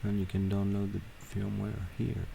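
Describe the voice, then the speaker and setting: A man talking through a software tutorial step by step, with halting pauses between instructions. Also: fairly low pitch, flat delivery, gravelly, noisy background. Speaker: tutorial presenter. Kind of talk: screen-recorded software tutorial.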